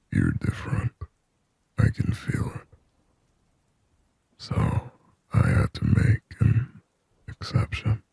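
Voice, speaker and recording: A man acts out a creepily tender male demon from an ASMR horror roleplay. The voice is extremely deep and full of creak, mostly sinking into a dark, breathy whisper. He speaks haltingly and intimately, possessive yet shy, in very dry close sound. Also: dry recording, full character voice. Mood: shy, confiding. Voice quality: breathy, husky whisper with low gravelly creak. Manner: slow, halting, hushed, intimate.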